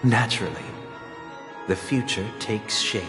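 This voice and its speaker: A man's voice: on the low side, unhurried, close to an everyday voice. A man playing a composed, grandiose orchestrator in a game, speaking in solemn, formal, theatrical oratory. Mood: courteous, composed. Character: grandiose, composed male orchestrator preaching order and divine myth. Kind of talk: dramatic game voice acting. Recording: echoey hall reverb over music.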